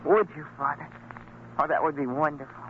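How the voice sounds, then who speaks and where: A dutiful young son in an old radio play, played by a male actor, high-voiced, polite and eager. The recording is tinny, with slight noise. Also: steady tone, a little fast, lightly acted. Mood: hopeful, glad. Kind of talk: old-time radio drama acting.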